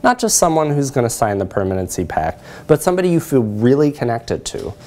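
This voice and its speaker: A man's voice: lowish voice, a little mellow, a little hiss or hum. Man giving a conversational lecture. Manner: conversational, often adds "right?" to check in. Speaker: academic presenter. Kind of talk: conference lecture with slides. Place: lecture room, indoors.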